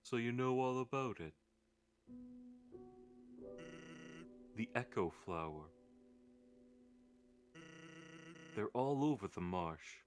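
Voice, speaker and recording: A man voicing a laid-back, joking skeleton from a video game, with a casual, wry deadpan manner. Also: medium pitch, moderate pace, lightly acted. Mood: pensive. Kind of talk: live character voicing of video game dialogue. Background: game music.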